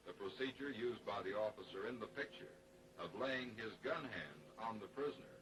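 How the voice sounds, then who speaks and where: A man's voice: lowish voice, lively intonation. Formal American man narrating, distant vintage soundtrack. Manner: formal, measured, instructional. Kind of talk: 1940s training film scripted narration. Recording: distant, dated 1940s film soundtrack.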